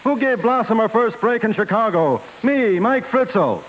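An actor playing a pleading, slangy nightclub owner in a 1950s radio drama. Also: male voice, sits high, animated, unhurried, rough recording. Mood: urging. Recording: hissy old radio broadcast.

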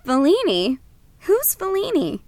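A woman's voice: extremely high pitch, wildly swooping pitch, unhurried, a little mellow, no echo, heavily acted. An actress playing a headstrong, flippant American film student in her early twenties in an audio drama. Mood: playful. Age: early 20s. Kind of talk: audio drama acting, documentary narration and casual banter.

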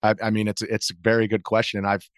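Low-pitched American man, measured and explanatory. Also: completely flat delivery, clear, resonant tone, no room sound, clean studio sound. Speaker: interview guest, video journalist. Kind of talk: conversational explanatory interview.

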